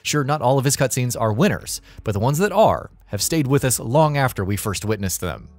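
A man's voice: medium pitch, sing-song, fairly quick, darkish tone, dry recording. A man reading a scripted video narration as the narrator.

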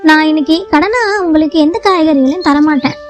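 A woman's voice: high voice, fairly quick, faint music behind, voice pushed up, lightly acted. A woman voicing an honest female bird vegetable seller in a children's cartoon moral story, firm and indignant as she stands her ground. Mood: refusing.

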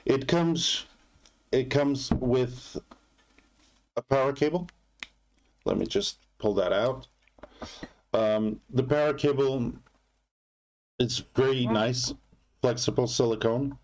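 A man giving a casual product demonstration as a reviewer, speaking haltingly in short phrases. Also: mid-range voice.

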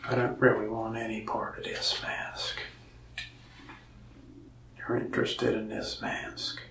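Young male salesman, soft and half-whispered, very gravelly, mic a bit distant with faint hiss. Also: on the low side, little pitch movement, takes it slowly, roomy sound. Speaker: ASMR creator playing a mask shop salesman. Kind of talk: soft-spoken ASMR roleplay. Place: indoors, small room in front of a wall of masks.

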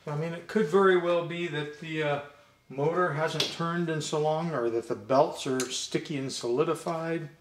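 An older man casually thinking aloud as he demonstrates something hands-on, musing and low-key, picked up by a camera mic indoors. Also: fairly even intonation, moderate pace. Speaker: vintage robot channel host. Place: indoor room with glass display table. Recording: echoey room, clear noise, handling clicks.

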